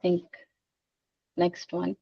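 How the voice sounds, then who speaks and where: A woman's voice: on the low side, completely flat delivery, vocal fry, no room sound. Distant, muffled young woman from India. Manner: friendly, informal, slightly halting. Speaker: student association organizer. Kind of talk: webinar presentation.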